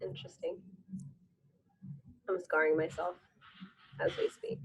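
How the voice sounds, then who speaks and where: A woman's voice: low voice, level tone, measured pace, breathy edge. A woman co-hosting a podcast in casual chat, giggly and teasing. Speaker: podcast co-host.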